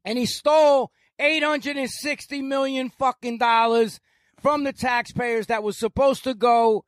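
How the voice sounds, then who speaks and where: A man in his sixties, a podcast guest, talking loudly and ranting with heavy swearing. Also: extremely high pitch, steady tone, unhurried, no room sound, studio quality. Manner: loud, profane, ranting. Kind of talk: heated podcast conversation.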